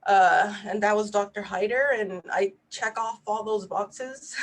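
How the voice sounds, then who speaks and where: A woman's voice: mid-range voice, a little slow, slight background noise. A woman in her thirties from Puerto Rico whose first language is Spanish, a physician giving an earnest, conversational webinar talk over a video call, with frequent fillers. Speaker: physician panelist. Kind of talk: webinar presentation.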